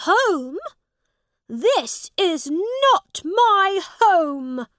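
An indignant young prince from a storybook, voiced by a woman. The voice is high and swoops widely, with very slow, deliberate delivery as he insists on his royal rank. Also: very animated, sharp tone, dry recording, clean studio sound, heavily acted. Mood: distressed, indignant. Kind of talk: storybook character voice.

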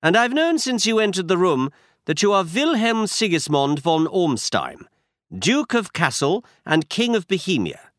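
A man reading a story aloud and voicing a calm, confident male detective who speaks in a brisk, assured, instructive way. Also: sits high, dry recording, studio quality, pitched above the performer's own voice, exaggerated voice.

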